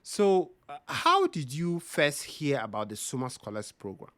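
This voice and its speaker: A man hosting an interview, speaking in a measured, thoughtful way with frequent fillers. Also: on the high side, huge pitch swings, a bit bright, no echo. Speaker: podcast host. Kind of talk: interview conversation.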